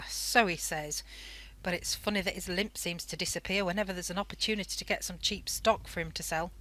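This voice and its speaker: An actress playing a worried, sharp-tongued wartime wife and mother in an amateur radio drama, anxious and guarded. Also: on the low side, fairly even intonation, brisk, poor audio. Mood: scornful.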